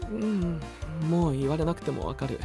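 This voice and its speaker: Lively, exasperated boyish young knight. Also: male voice, a little high, gravelly, music in the background. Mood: exasperated, bossy. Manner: brisk, boyish, exasperated retorts. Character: young male knight, exasperated, diligent royal aide. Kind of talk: anime-style voice drama acting.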